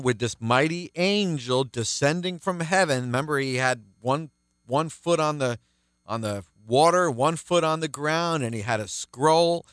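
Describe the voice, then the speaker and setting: A man with a deep baritone voice, teaching the Bible on his radio program in an earnest, explanatory way. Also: a bit bright, smooth, no echo, clean studio sound. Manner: earnest, explanatory, counts things out.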